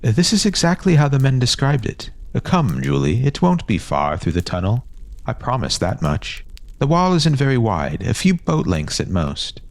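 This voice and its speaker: Man voicing an eager boy ringleader, pitch lifted. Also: normal speed, creaky. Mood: coaxing. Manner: excited, coaxing. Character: eager, persuasive adventurous boy, ringleader of friends.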